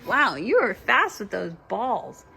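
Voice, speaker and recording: A woman in her thirties reading a storybook aloud in an animated, drawn-out way, breaking off to chat with young children. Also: on the high side, gravelly. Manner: animated, drawn-out delivery with exclamations. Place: at home among young children.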